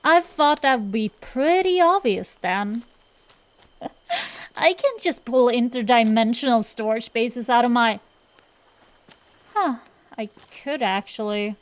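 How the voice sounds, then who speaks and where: Casting: female performer as a young-sounding fantasy dragon girl, high pitch, unhurried delivery, cocky tomboy scamp, defensive banter, ASMR roleplay. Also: animated. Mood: defensive, sheepish. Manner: blustering, bantering, hesitant excuses full of drawn-out pauses. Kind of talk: ASMR roleplay, one-sided comedic dialogue.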